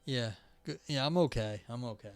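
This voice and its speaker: A man's voice: medium pitch, moderate pace, clean tone, soft background music. Rambling young man streaming, voice tiring. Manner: rambling, many fillers and restarts. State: voice going hoarse. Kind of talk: casual live-stream chat.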